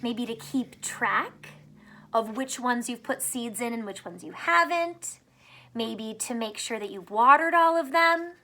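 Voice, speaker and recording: A woman teacher reading a picture book aloud to young children, pausing to explain simply and ask questions, drawing out words for emphasis. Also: fairly high pitch, sharp tone.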